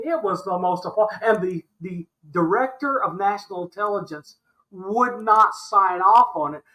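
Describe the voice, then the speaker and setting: An American man in his sixties with a fairly high voice for a man. He talks as an impassioned podcast guest, exasperated and emphatic, often repeating a phrase to drive it home. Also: sharp tone, low-quality recording. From Alabama, United States. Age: 60s. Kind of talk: impassioned interview commentary.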